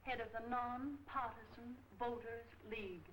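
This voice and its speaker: A woman's voice: fairly high pitch, a little slow, a bit bright. An actress playing a sassy, wry newspaper office woman in a 1940s film serial. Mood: teasing. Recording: slightly noisy old film soundtrack.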